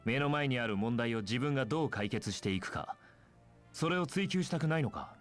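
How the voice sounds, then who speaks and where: A man's voice: mid-range voice, animated, racing, faint music behind, close to an everyday voice. A man playing an earnest, resolute young squad commander in an anime game, speaking seriously, firmly and formally. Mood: stern.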